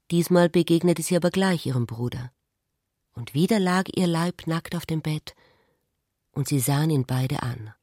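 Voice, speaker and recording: A woman's voice: sits low, darkish tone, dry recording, clean studio sound. A woman reading literary prose as a narrator in a radio drama.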